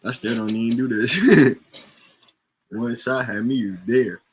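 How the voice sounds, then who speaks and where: Casual male host, relaxed slangy talk, laughs a lot, mid-range voice. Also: mid-range voice, measured pace, breathy edge. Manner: laid-back, slangy and profane, laughs often. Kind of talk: casual chat with friends.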